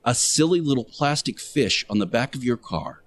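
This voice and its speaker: A man delivering a sermon-like radio monologue as a show host, speaking emphatically and preachily with deliberate rhetorical repetition. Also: mid-range voice, expressive.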